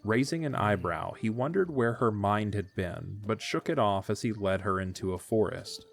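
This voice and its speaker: A man's voice: lowish voice, normal speed, creaky, faint music behind. A man narrating a scripted story as the narrator of an audio drama.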